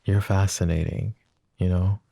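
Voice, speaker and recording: A man voicing a lovesick, possessive young mafia boss in an ASMR roleplay, speaking softly and intimately, often close to a whisper. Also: deep voice, little pitch movement, a little slow, creaky, dry recording, heavily acted. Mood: tender, yearning. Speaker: voice actor.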